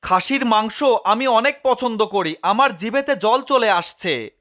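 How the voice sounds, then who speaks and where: A man's voice: high voice, lively intonation, unhurried, bright, forceful tone, heavily acted. A man voicing a whiny, melodramatic, hungry male cat in a cartoon dub. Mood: greedy, excited. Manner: melodramatic, exclaiming.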